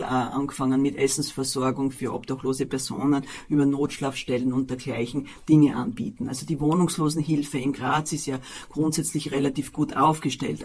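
A man with an Austrian accent, a city social services official, answering in a halting way with many fillers. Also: on the high side, breathy edge. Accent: Austrian German. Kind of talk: radio interview answers.

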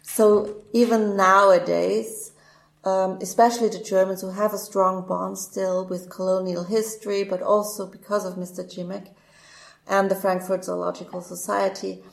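A woman's voice: mid-range voice, flat delivery, normal speed, vocal fry. A woman from Europe answering interview questions in an earnest, explanatory way. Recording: echoey room.

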